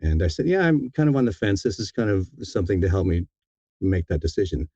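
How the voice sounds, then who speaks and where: A man speaking as a guest in a casual interview, in a halting, reflective and sincere way. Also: low-pitched, sing-song, warm tone, slightly rough, no echo, lo-fi sound. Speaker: guest, audiobook narrator.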